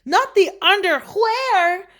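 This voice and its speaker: A woman voicing an excitable little kitten boy with theatrical exclamations and a put-on Spanish accent, in a storybook. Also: very high-pitched, takes it slowly, vocal fry, pitched above the performer's own voice, full character voice. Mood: eager, surprised.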